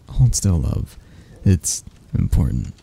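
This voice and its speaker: A predatory creature of the night acted by a man murmurs as a possessive lover in an ASMR roleplay. The voice is low, very dark and mellow, with gravel in it. It teases tenderly and with quiet menace. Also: sing-song, a little hiss or hum, exaggerated voice. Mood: teasing, menacing. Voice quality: husky, breathy, gravelly low voice. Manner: slow, teasing murmur with soft chuckles and near-whispers. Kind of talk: intimate ASMR roleplay acting.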